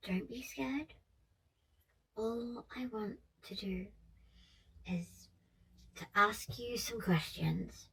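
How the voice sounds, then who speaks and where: A woman playing a gentle, curious alien researcher in an ASMR roleplay, speaking softly and soothingly and often slipping into a whisper. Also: medium pitch. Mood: reassuring. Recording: roomy echo, distant mic, faint noise.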